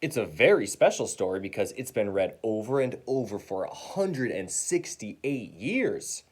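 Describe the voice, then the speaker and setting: A man voicing a kindly, gracious woman schoolteacher in a children's storybook, gentle and measured. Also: on the low side, big pitch swings. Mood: patient.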